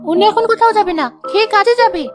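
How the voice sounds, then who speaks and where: A woman voicing a sharp-tongued, nagging young village wife in a cartoon. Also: very high-pitched, rapid-fire, music in the background. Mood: scolding, annoyed. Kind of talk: cartoon voice acting.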